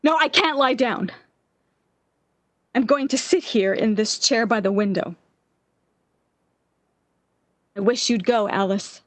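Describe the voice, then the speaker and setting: Actress doing a lovesick anxious young woman, really high voice, pleading, old 1940s radio drama style, dry sound. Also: dry recording, natural voice. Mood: brooding, insistent. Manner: pleading, melodramatic, halting. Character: Anne, lovesick, anxious, desperate young woman clinging to love.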